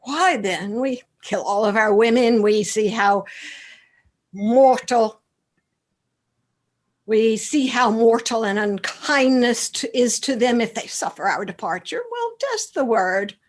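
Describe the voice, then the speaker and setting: A woman acting a measured attendant in a Shakespearean radio play, speaking deliberately and expressively. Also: fairly high pitch, lively intonation. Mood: wry, reasoning. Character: measured soothsayers, messengers and loyal male soldier.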